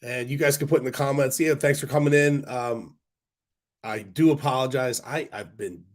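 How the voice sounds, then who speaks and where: Man, hoarse from a cold, casual stream host, rambling, lots of ums, average pitch. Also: normal speed. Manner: rambling, many fillers and restarts. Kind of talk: casual livestream chat reading viewer comments.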